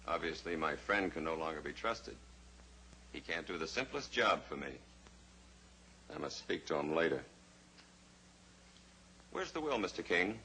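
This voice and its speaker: Low, menacing radio villain. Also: male voice, lively intonation, sharp tone, heavily acted, low-quality recording. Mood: mock-polite menace. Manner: mock-polite, deliberate, menacing. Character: suave, menacing male villain, a scheming blackmailer. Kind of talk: old-time radio drama acting. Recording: old radio recording with faint hiss.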